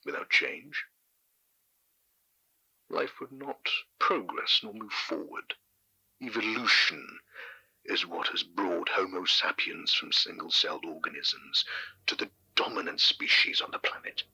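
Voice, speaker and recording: A man acting a grave narrator in an audio drama, delivering a solemn monologue in a breathy half-whisper with deliberate, portentous phrasing. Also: low-pitched, bright, forceful tone, exaggerated voice, low-quality recording. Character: grave male narrator musing on evolution and change.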